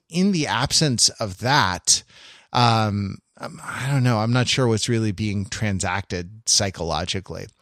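An American man chatting casually and analytically as a podcast co-host, halting and circling through his thoughts with a wry, joking edge. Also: mid-range voice, a bit bright, dry recording, studio quality.

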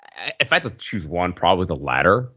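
A man co-hosting a casual analytical podcast, circling back over his words in a halting way as he thinks. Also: sits low, big pitch swings, sharp tone, dry recording, highs cut off. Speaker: podcast co-host.

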